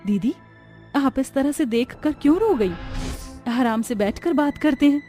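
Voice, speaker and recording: A woman voicing a kind young sister-in-law in a cartoon story, gentle, polite and reassuring. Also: high voice, expressive, measured pace, faint music behind.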